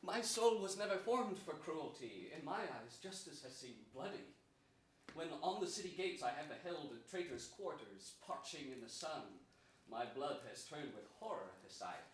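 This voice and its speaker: A young man playing a gentle, melancholy captive king, declaiming earnest Shakespearean verse in a staged reading. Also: a little high, lively intonation, normal speed, lightly acted. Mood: sorrowful, gentle. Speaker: stage actor. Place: large indoor theater stage before an audience. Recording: echoey theater, distant microphone, some noise.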